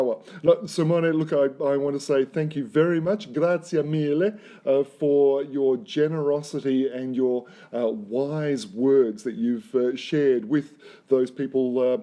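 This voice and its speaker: A man hosting an interview, summing up his guest's answers in a measured, encouraging way. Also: medium pitch, fairly even intonation, mellow. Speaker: interviewer host.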